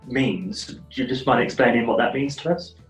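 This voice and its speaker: A man's voice: mid-range voice, moderate pace, soft background music. A man co-hosting a video chat, asking a guest politely to explain a term. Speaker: co-host. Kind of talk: casual interview questions. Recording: roomy webcam sound.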